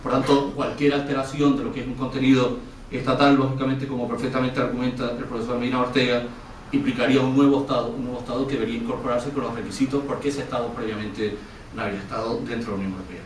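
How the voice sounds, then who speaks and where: A man's voice: mid-range voice, level tone, fast talker. A man giving a formal speech as a panelist presenting a book. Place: event room, distant mic. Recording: very echoey hall, distant mic, faint noise.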